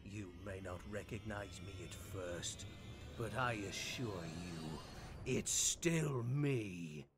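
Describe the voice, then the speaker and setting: An actor playing a sinister, obsessive undead killer trapped in a rabbit animatronic, in a theatrical, deliberate horror game monologue. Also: male voice, lowish voice, lively intonation, faint music behind. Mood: menacing.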